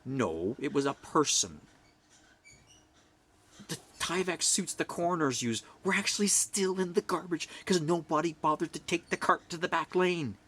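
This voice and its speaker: Blunt, animated middle-aged man ranting. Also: fairly high pitch, normal speed, breathy edge. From Canada. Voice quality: loud voice. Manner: blunt, swings from hushed whispers to forceful outbursts. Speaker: vlogger. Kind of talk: personal rant to camera.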